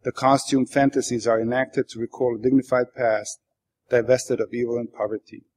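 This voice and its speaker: Male author, native Bosnian speaker with Bosnian accent, mid-pitched, reading literary prose aloud with dry, wry delivery. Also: steady tone, normal speed, a little mellow. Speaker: author reading his own work.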